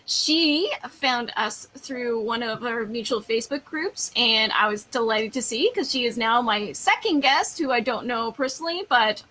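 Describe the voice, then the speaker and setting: A woman's voice: a little high, animated, moderate pace, few pauses, pushed and bright, low-quality recording. A woman hosting an interview show, greeting her guest with enthusiastic, effusive praise. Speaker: podcast host.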